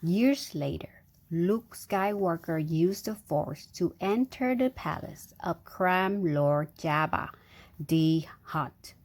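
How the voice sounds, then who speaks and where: A woman's voice: on the low side, lively intonation, takes it slowly. A woman reading a storybook aloud to a young child, breaking off for chatty, engaging asides and questions. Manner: engaging, prompting with questions and sound effects.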